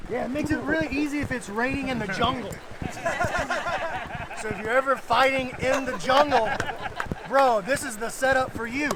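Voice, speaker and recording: A man's voice: very high voice, moderate pace, long pauses, very noisy. A man talking in an informal, slangy way, as a vlogger and coach chatting casually to camera and companions. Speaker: vlogger and jiu-jitsu coach. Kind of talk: casual vlog chat.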